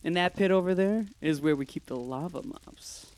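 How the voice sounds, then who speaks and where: A weary, sarcastic ruler of hell, voiced by a man, gives a dry guided tour in a slightly raised voice. The cartoon delivery is deadpan and unimpressed. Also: high-pitched, normal speed, music in the background, close to an everyday voice. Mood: sardonic.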